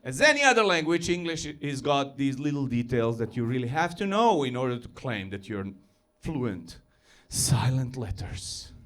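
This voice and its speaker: A man with a Serbo-Croatian accent doing a self-deprecating stand-up set on stage, building to yells. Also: medium pitch, sing-song, moderate pace, few pauses. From former Yugoslavia. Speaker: stand-up comedian.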